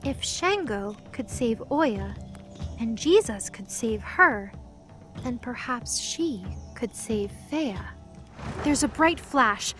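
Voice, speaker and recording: A woman's voice: a little high, expressive, slow pace, fairly bright tone, faint music behind. A woman narrating a scripted audio drama as its storyteller.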